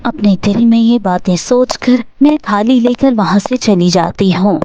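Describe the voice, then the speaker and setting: A woman's voice: mid-range voice, unhurried, dark tone, lo-fi sound, close to an everyday voice. A woman playing a frightened, suspicious and determined young wife in a dramatised horror story. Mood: narrating. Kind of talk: dramatised Hindi moral horror story narration.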